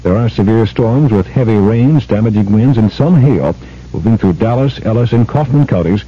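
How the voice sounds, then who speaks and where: A man's voice: lowish voice, moderate pace, mellow, husky. A man reading a weather warning bulletin on radio in a formal, factual way. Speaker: radio news announcer. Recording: dull, muffled old radio broadcast.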